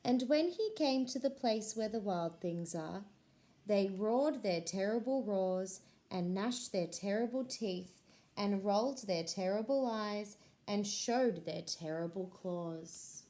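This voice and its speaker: A woman reads a picture book aloud to young children in the manner of a school librarian. She takes an unhurried pace and leans into the words expressively. Her voice sits in a natural middle register. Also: medium pitch. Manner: slow, expressive storybook reading.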